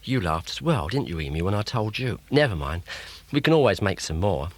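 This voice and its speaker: Man playing an excitable, bumbling inventor. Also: low voice, brisk. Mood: resigned. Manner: flustered, stammering.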